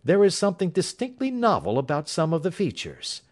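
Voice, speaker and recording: A man reading an audiobook, voicing a sharp, aloof male detective in a crisp, assured and clipped manner. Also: fairly high pitch, wildly swooping pitch, a little fast, voice pushed up. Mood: grave.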